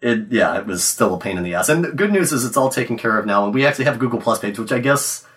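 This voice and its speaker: Young man, congested nasal voice, lively up-and-down pitch, a bit off-mic, laid-back casual chat, podcast co-host. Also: recorded from a distance. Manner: laid-back, swears casually, quick asides.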